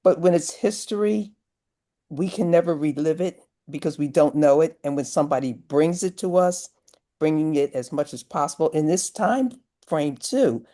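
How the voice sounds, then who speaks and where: A woman's voice: low voice, moderate pace, mellow. A woman giving earnest, emphatic feedback as an audience member on a video call. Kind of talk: earnest spoken comment.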